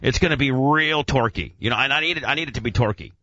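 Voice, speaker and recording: A man with a forceful, bright voice chats casually as a radio host. He rambles with a gearhead's enthusiasm, piling up jargon and the odd mild curse. The sound is very dry and close, like a studio microphone. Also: mid-range voice, fast talker, dry recording. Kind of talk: casual motor-head radio chat.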